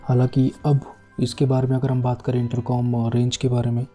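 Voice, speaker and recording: A man talks through a product unboxing in a very dark, mellow voice. His delivery is flat and casual, coming in halting short phrases, with music playing underneath. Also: medium pitch. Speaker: vlogger reviewing a product. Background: background music.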